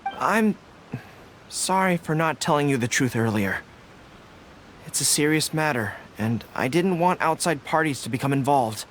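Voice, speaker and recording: Game role: honourable military leader, high-pitched and expressive, formal, sincere, quick to apologise. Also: male voice, a little hiss or hum. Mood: sincere, apologetic. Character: earnest, honourable young male army general. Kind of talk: video game voice acting.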